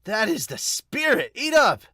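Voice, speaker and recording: A man's voice: very high-pitched, very animated, measured pace, sharp tone, dry recording, studio quality, pitched above the performer's own voice, full character voice. A man voicing an eager, cheerful teenage boy cook turned detective in an amateur manga dub. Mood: boisterous.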